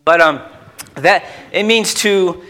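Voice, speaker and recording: A male youth pastor delivers an informal sermon in a fairly high, bright voice. He leans on repeated phrases for emphasis and breaks off into chatty asides to the people listening. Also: takes it slowly. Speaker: youth pastor preaching to a congregation. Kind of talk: informal sermon with scripture quotation and exposition.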